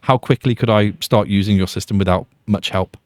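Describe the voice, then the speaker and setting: A man with a slightly deep voice chats rapidly as a podcast host. His style is casual and self-deprecating, like an informal interview. The recording is dry, with very little room sound. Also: dry recording.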